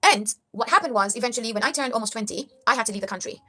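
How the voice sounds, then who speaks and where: A woman's voice: fairly high pitch, racing, pushed and bright. A young woman from Kenya giving an earnest motivational talk to camera, preaching life tips to her viewers and calling them guys. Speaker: life coach vlogger.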